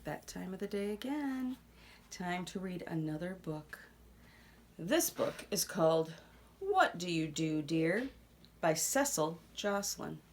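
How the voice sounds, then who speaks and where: A woman reading a children's picture book aloud expressively, with dramatic pauses and hushed asides. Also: on the low side, expressive.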